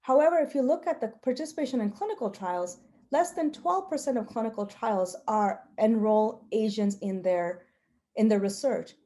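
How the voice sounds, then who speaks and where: A woman researcher, a native Gujarati speaker, giving a prepared talk with personal storytelling in an earnest, measured, emphatic way. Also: a little high, dark tone. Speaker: health researcher presenting.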